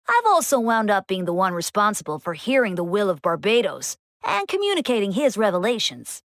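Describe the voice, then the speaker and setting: A woman's voice: medium pitch, a bit bright, no echo, clean studio sound. A woman voicing a playful, mischievous young church deacon for a game, chatty, breezy and teasing. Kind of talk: scripted game character acting.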